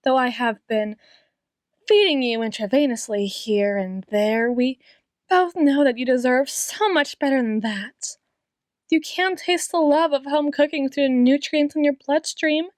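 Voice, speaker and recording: Woman acting a high-voiced, slow, obsessive doting doctor. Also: dry recording, clean studio sound. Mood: doting, quietly menacing. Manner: breathy whispers, lingering pauses, repeats phrases tenderly. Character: obsessive, doting female doctor, sweetly menacing yandere. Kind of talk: ASMR roleplay, dramatic monologue addressed to listener.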